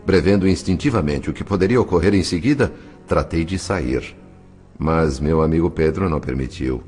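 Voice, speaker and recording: A man narrating a dramatised audiobook in a measured, literary, expressive way. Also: very deep voice, soft background music.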